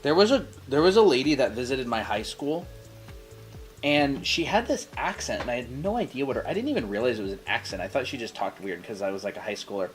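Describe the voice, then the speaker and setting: A man's voice: mid-range voice, lively intonation, fast, soft background music. An American man chatting casually on a livestream, rambling through stories and reading viewer comments aloud. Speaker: livestream co-host reading chat.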